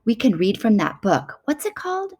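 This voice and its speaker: Eager storybook kangaroo, played by a woman: high, bouncy, very animated, friendly and excitable. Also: moderate pace.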